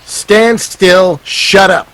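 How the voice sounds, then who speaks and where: A hot-headed young gunman from a 1940s radio play, played by a man. His voice is extremely high and sharp, and he barks aggressively, touchy and threatening. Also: drawn-out words, exaggerated voice, poor audio. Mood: angry, threatening. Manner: barking, aggressive. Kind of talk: 1940s radio drama acting.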